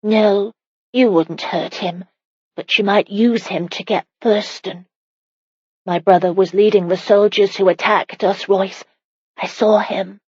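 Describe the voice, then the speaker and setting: Casting: anxious young medieval wife played by a female narrator, emotional and stubborn, husky breathy voice, dry muffled audiobook sound. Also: mid-range voice, steady tone, slow, no room sound, pitched above the performer's own voice. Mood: pleading.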